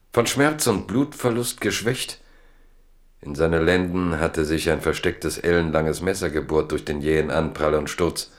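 A man narrating a literary radio play in German, telling the story in measured, dramatic phrases with pauses. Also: low-pitched.

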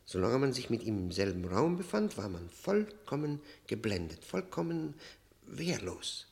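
An actor playing a haunted, agitated man confessing his past in a German radio drama, halting and brooding, swinging from emphatic outbursts to near whispers. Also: mid-range voice, big pitch swings, vocal fry, a little mellow. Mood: brooding, fervent.

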